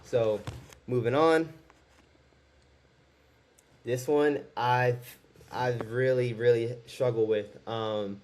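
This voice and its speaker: A man's voice: medium pitch, fairly even intonation, extremely slow, pauses often, a little mellow, slight background noise. A man in his twenties talking candidly to camera in his first video, rambling a little and restarting his sentences. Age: 20s. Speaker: YouTuber. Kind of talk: casual talk to camera.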